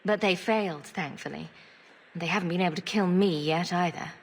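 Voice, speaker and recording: An actress playing a composed, resolute adventurer heroine in a video game, measured and determined. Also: female voice, fairly low pitch, breathy edge. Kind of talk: dramatic video game acting.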